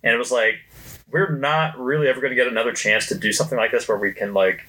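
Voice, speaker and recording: A man's voice: mid-range voice, steady tone, fast talker, pushed and bright, rough recording. A man talking casually on a podcast, recounting company history in a conversational way. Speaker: podcast guest, company co-worker.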